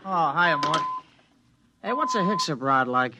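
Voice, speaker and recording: A man's voice: a little high, sing-song, a little slow, very noisy. A man acting a cocky, slangy young spaceman kid in a 1950s radio drama. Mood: curious. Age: young adult. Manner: brash, slangy, eager.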